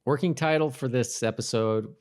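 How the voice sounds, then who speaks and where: A man co-hosting a podcast in casual chat, talking in a halting, hesitant way and correcting himself. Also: mid-range voice, a little mellow. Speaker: podcast co-host. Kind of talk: casual chat about TV show trivia.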